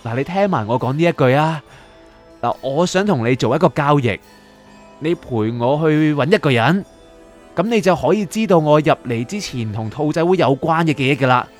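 A man acts a chatty, inquisitive lost soul in a radio drama. His voice swings widely in pitch, eager and coaxing, with music underneath. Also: expressive, normal speed, close to an everyday voice. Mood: pleading, bargaining. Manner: eager, wheedling, explanatory. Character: curious, talkative bargaining soul of an anime fan. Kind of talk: Cantonese radio drama acting.

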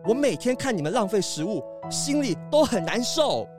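A woman acting as a boyish young lemur in a children's radio play. He is earnest and heartfelt, his mid-pitched voice rising and falling widely over background music. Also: big pitch swings, moderate pace, close to an everyday voice. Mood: indignant, heartfelt. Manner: sincere, heartfelt. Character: young male lemur, earnest, principled older brother. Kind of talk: children's audio drama acting.

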